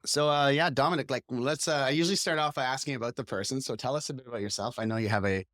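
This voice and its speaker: A man's voice: mid-range voice, racing, fairly bright tone, dry recording, studio quality. A man hosting a podcast in a casual, informal and upbeat interview chat, with hesitant phrasing. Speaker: podcast host.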